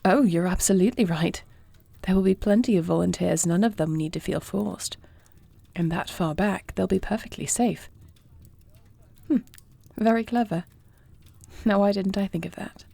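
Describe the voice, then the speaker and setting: A woman's voice: mid-range voice, moderate pace, gravelly, mellow, dry recording. A woman playing a proud, dutiful young-married warrior princess who can shift into a dragon, speaking formally in a scripted audio roleplay. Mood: warm, attentive. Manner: formal, regal phrasing. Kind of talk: scripted audio roleplay acting, one side of a dialogue.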